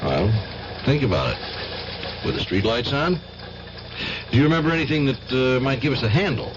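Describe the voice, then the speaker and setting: Actor as a probing police lieutenant, muffled old recording. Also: male voice, mid-range voice, expressive, normal speed, lightly acted. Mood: skeptical, pressing. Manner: questioning, hesitant filler murmurs, matter-of-fact. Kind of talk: 1970s radio drama acting. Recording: dull old radio recording, clear noise.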